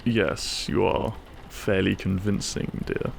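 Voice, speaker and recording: A devoted, wry husband , acted by a man in an intimate audio roleplay. His voice is fairly low with a gravelly creak. He speaks dryly and fondly, with faint ambience behind him. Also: little pitch movement, slow, a little hiss or hum, exaggerated voice. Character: devoted, wry British husband sheltering a guest.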